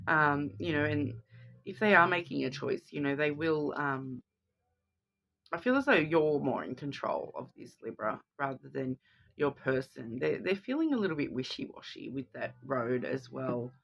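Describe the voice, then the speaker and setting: A woman's voice: low voice, expressive, moderate pace, vocal fry, a bit bright. A woman with a cold, sounding sniffly, giving a chatty card reading to viewers and often checking in with "okay?". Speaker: tarot card reader.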